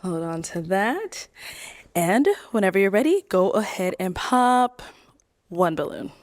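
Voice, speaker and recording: A woman hosts a dating game show in a brisk, directing way, running through the same questions for each contestant. Her voice sits at a middle pitch and is recorded close and dry. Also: animated, no echo.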